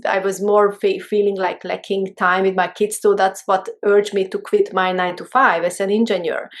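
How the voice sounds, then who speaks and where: A middle-aged woman with a Hungarian accent, a guest in a casual interview, speaking in a halting way with many restarts. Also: medium pitch. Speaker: guest, holistic mentor.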